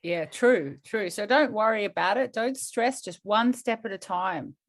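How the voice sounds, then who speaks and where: A woman's voice: medium pitch, a little slow. A woman, a fitness coach co-hosting a casual podcast, giving short encouraging replies.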